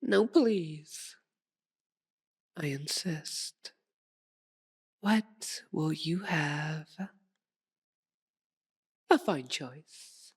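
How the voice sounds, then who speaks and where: Slow, seductive vampire noblewoman, acted by a woman. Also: low-pitched, expressive, breathy edge, full character voice. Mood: mock-hurt, menacing. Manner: slow, theatrical, purring, teasing formality. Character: seductive, sadistic, possessive female vampire aristocrat. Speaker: voice actor in audio roleplay. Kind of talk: ASMR roleplay, dramatic monologue to listener.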